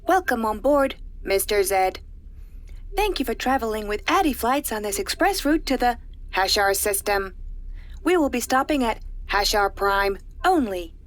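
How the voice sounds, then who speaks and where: A female flight attendant of the future, with a fairly high, close and dry sound. She is formal and courteous in a rehearsed customer-service way, as heard in a sci-fi radio drama. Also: lively intonation, a little slow, no room sound. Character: polite, formal female space shuttle greeter. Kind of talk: sci-fi audio drama dialogue.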